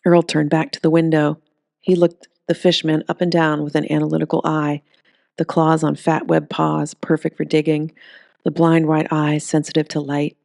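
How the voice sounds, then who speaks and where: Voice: medium pitch, completely flat delivery, moderate pace, gravelly, darkish tone, dry recording, studio quality. An audiobook narrator reading a scripted story.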